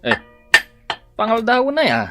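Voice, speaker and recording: A man's voice: very high-pitched, wildly swooping pitch, unhurried, over loud music, full character voice. An actor playing a stingy, hard-drinking working man in a radio comedy drama, with an Ilocano accent and mumbled, grunting replies. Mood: grumbling.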